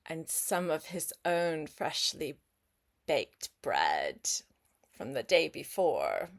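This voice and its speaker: Older woman reading stories slowly and expressively. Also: low-pitched, gravelly. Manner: expressive, pauses to chat with the child listener. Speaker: grandmother reading a children's book aloud. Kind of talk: read-aloud storytelling for young children with questions to the listener.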